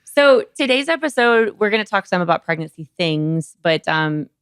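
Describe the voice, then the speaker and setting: A woman's voice: medium pitch, expressive, normal speed, clean tone, no echo, clean studio sound. A woman hosting a podcast as a doctor, talking in a chatty, informal interview style. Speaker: podcast host, OB-GYN doctor.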